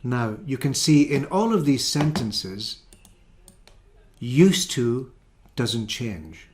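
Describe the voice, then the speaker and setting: A man's voice: mid-range voice, expressive, takes it slowly, a little mellow, slight background noise. A man teaching an English grammar lesson, carefully explaining and repeating each example sentence.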